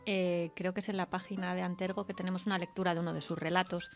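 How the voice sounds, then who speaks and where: A woman's voice: on the low side, level tone, fast, faint music behind. Woman from hosting a conversational interview. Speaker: radio interviewer, host. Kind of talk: informal literary radio interview.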